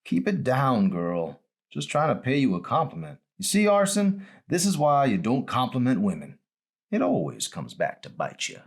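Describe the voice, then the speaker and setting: Storybook old man, acted by a male reader, frail, ornery, teasing, lively swings in pitch. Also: moderate pace, creaky, exaggerated voice. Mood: mock indignant. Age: older.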